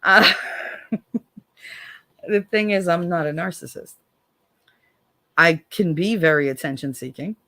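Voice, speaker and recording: A woman's voice: takes it slowly, pauses often, no room sound. Blunt, profane American woman host. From United States. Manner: blunt and profane, swings from low weary tones to sharp sarcastic jabs. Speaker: livestream host. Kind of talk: casual livestream chat, reading viewer comments.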